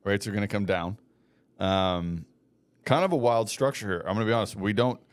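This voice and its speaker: A young man co-hosting a casual podcast, giving matter-of-fact advice with quiet deadpan jokes. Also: on the low side, brisk, no echo. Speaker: podcast co-host, mortgage lender. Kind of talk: casual chat.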